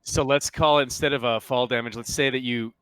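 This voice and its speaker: Smooth-voiced man running a tabletop game. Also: mid-range voice, fairly even intonation, a bit bright, no room sound. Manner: halting, thinks aloud. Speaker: game master. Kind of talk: casual tabletop game narration and rulings.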